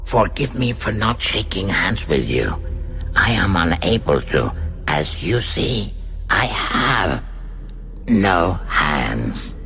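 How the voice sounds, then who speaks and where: A sinister megalomaniac villain in a radio drama, with a low, gravelly voice that swoops dramatically from murmur to bellow. He is formal, cold and grandiose, weighing each word with menace. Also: very animated, pushed and bright, soft background music, exaggerated voice. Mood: icy courtesy. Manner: theatrical, formal, deliberate, menacing. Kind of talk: broadcast radio drama acting.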